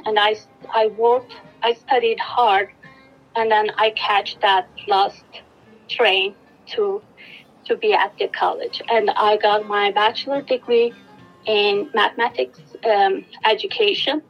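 A woman from Turkey with a Turkish accent, giving an earnest, steady interview answer with many fillers and restarts. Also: medium pitch, takes it slowly, highs cut off, soft background music. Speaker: guest, education specialist.

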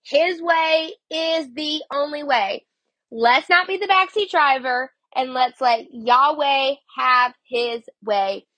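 A woman's voice: sits high, slow, a bit bright, dry recording. A woman with a cold, sounding sniffly, hosting a casual devotional podcast in a chatty way, saying "like" a lot. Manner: chatty, heavy use of "like". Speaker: podcast host.

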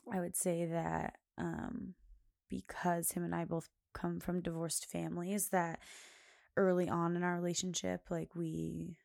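A woman hosts her own podcast, talking loosely and at length in a loud voice that carries. Her tone sits low, with a gravelly fry, and it sounds dry and close. She rambles through fillers and laughs often. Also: flat delivery, unhurried, dry recording. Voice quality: loud, carrying voice. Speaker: podcast host. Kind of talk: casual chat.

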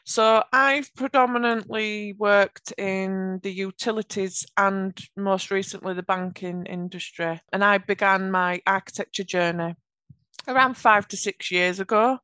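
A woman with a Yorkshire accent, a podcast guest giving earnest, measured answers about her work. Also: medium pitch, fairly even intonation, pushed and bright, smooth, dry recording. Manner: earnest, measured explanations with occasional restarts. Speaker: podcast guest, automation architect. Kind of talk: conversational interview answers.

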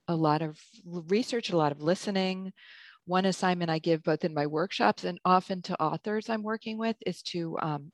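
A woman with a notably low voice and a faint Hungarian colouring to her English talks through her craft as an editor and writing coach. She thinks aloud, filling pauses with ums and restarting sentences. The sound is close and dry. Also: no room sound. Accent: slight Hungarian-tinged English. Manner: thoughtful, frequent ums and restarts. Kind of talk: conversational interview answer.

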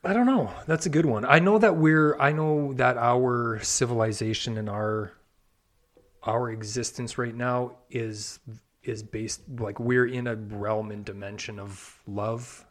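A man co-hosting a casual podcast, musing reflectively in halting phrases. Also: mid-range voice. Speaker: podcast co-host. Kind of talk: casual chat.

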